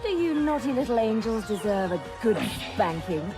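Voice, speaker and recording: A woman's voice: a little high, sing-song, normal speed, loud music behind the voice. A woman playing a sultry, confident, dangerous fighter in a video game, teasing and playfully taunting. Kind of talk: video game cutscene acting.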